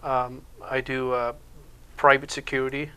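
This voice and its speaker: A Welsh man chatting casually in a local TV interview, talking about himself with lots of pauses and fillers. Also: medium pitch, smooth. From Wales. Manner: relaxed, hesitant with many uh fillers. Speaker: show co-host and security instructor.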